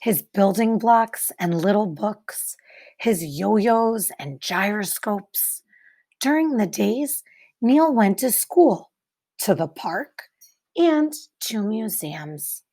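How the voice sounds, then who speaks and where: A woman reading a picture book aloud like a teacher, expressively, with deliberate dramatic pauses and emphasis for young listeners. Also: mid-range voice, animated, warm tone. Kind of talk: expressive children's read-aloud narration.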